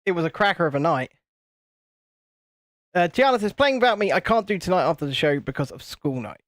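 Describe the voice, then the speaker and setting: A man with a naturally high-pitched voice, hosting a casual livestream and cheekily bantering while reading out chat comments. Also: expressive, brisk, a bit bright, no room sound, studio quality. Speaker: host of a building livestream.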